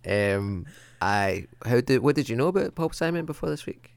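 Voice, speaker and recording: A man's voice: medium pitch, expressive, normal speed, gravelly, clear, resonant tone, dry recording. A man co-hosting a podcast, chatting casually in a jokey, rambling, teasing way. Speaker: podcast co-host. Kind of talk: casual chat.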